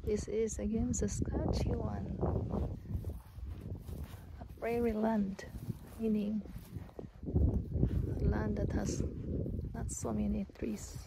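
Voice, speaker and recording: Loud outdoor noise and clicks surround a middle-aged woman narrating a casual vlog. She speaks very slowly, in short halting phrases, with a gravelly fry in a medium-pitched voice. It sounds like a handheld phone in an open field. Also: little pitch movement. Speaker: farm vlogger, host. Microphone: handheld phone at arm's length.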